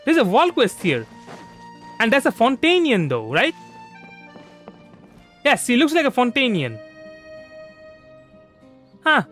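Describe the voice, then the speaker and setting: Male game streamer, very high register, bright tone, loose rambling chat. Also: huge pitch swings, takes it slowly, pauses often. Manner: rambling, repeats and restarts phrases often. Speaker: livestreamer. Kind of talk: casual gameplay livestream commentary. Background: soft background music.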